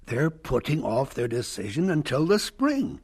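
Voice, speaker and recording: A man acting a self-assured older college president in an audio drama, ponderous and hesitant, with a gravelly voice. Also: mid-range voice, wildly swooping pitch, unhurried, darkish tone, exaggerated voice. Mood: smug, reassuring. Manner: hesitant, ponderous, hemming and hawing.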